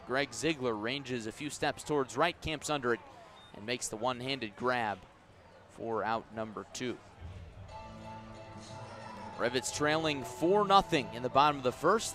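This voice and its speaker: A man's voice: medium pitch, pushed and bright. Man calling baseball play-by-play on radio. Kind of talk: live sports commentary.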